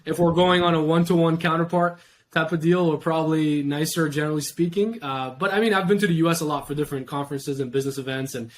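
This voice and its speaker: A young man from Iran, a podcast guest, talking in a steady, reflective way. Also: on the high side, fast talker. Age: young adult. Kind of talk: casual interview talk.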